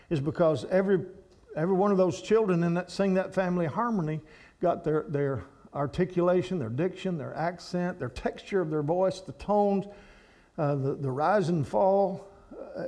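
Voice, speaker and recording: Rambling preacher man. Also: high-pitched. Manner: rambling, animated preaching with many restarts. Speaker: guest speaker at a church. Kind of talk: sermon to a congregation.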